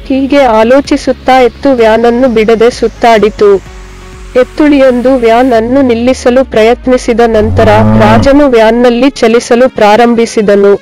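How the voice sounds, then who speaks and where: A woman's voice: on the high side, steady tone, unhurried, over music, poor audio. A woman narrating scripted moral tales for children.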